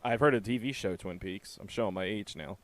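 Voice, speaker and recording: A man's voice: lowish voice, a little fast. A man hosting a casual radio interview with loose, crude joking banter. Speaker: host.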